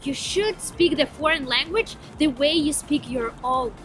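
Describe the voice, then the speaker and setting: A young woman with a Ukrainian accent speaks eagerly and with emphasis, her voice high and bright in pitch. She stops now and then to search for a word. Music plays clearly under her voice. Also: measured pace. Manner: eager, emphatic, with some hesitations. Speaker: vlogger. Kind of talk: enthusiastic to-camera vlog.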